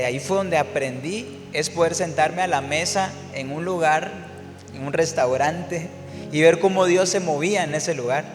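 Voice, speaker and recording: A man from Guatemala, a worship singer telling his story in a relaxed interview, reflective and full of fillers and restarts. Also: on the high side, long reverb tail.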